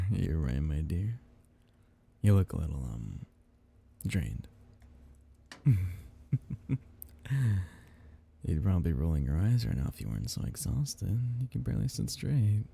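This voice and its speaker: A man playing a tender, possessive vampire count in an intimate ASMR roleplay, speaking in soft, teasing murmurs with a husky, gravelly voice. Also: low-pitched, sing-song, normal speed, pauses often, full character voice.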